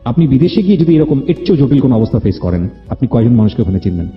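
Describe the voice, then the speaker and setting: A man's voice: medium pitch, sing-song, very fast. A man with a Bangladeshi accent hosting an interview, speaking earnestly and probingly and mixing in English words. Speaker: radio show host. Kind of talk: conversational life-story interview with advice. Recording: roomy echo, dull muffled sound, music underneath. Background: background music.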